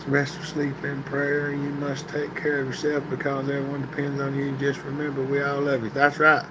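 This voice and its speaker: A man, hosting a casual live stream and answering viewers by name, his voice getting hoarse and stuffy. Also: mid-range voice, completely flat delivery, moderate pace, background noise. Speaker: livestream host reading chat. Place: indoor workshop room, sitting at a metal table.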